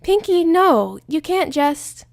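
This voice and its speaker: A woman's voice: very high voice, big pitch swings, slow, vocal fry, dry recording, studio quality, pitched above the performer's own voice, exaggerated voice. Brief: studious female unicorn, fed up and scolding, voiced by a woman reader. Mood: exasperated. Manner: exasperated and scolding.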